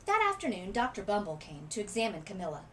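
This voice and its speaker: A woman narrates a children's picture book aloud. Her delivery is highly animated, with dramatic emphasis and pauses, over faint background noise. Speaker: literacy coach reading aloud.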